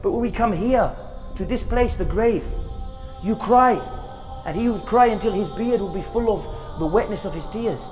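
A man's voice: high voice, normal speed, a little mellow, long reverb tail, faint music behind. A man in his thirties giving an earnest religious reminder outdoors in a graveyard, pleading with rhetorical questions, on a lapel mic. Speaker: preacher.

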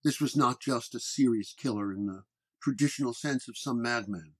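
A man reading a scripted first-person detective horror story in a measured storytelling manner. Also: medium pitch, husky. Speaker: narrator.

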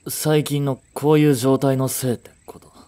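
A man's voice: mid-range voice, level tone, normal speed, mellow, lightly acted. A man playing a frustrated young working boyfriend in a romantic audio drama, speaking in blunt, casual masculine language. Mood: irritated, confused. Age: young adult.

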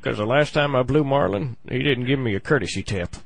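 A man with a gravelly voice making a comic prank phone call, halting and full of mock-earnest complaint. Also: medium pitch. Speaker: prank caller on a comedy show.

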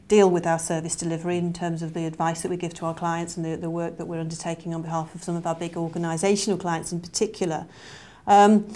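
A middle-aged British woman, a lawyer, answering interview questions in a measured, professional way. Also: lowish voice, darkish tone. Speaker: lawyer at a law firm. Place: small plain indoor room.

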